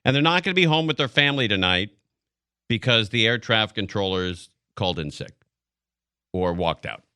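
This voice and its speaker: An American man hosting live radio talk, commenting casually on the news in a rambling, halting way, as if thinking aloud. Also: fairly low pitch, animated, bright, forceful tone, smooth, no echo, studio quality. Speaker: radio talk show host. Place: radio studio. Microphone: close broadcast studio mic.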